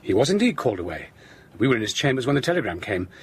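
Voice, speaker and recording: An actor playing a caring young Victorian gentleman doctor in an audio drama, speaking formally and earnestly. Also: mid-range voice, very animated, rapid-fire, husky. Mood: courteous. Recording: faint background ambience.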